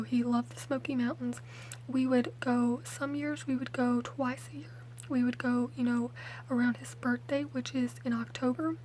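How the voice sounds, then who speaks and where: A young woman, speaking softly and often whispering in a chatty, hesitant ASMR storytelling style. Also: on the high side, slight background noise. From Mississippi, USA. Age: late teens. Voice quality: soft, hushed, often whispered. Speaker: ASMR video creator. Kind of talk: ASMR, rambling personal storytelling.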